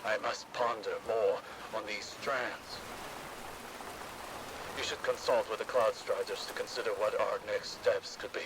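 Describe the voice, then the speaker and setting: An actor playing a stern, driven older scholar-warrior in a video game, speaking in a formal, clipped, intense way. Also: male voice, on the low side, steady tone, unhurried, background noise, lightly acted. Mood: stern, frustrated.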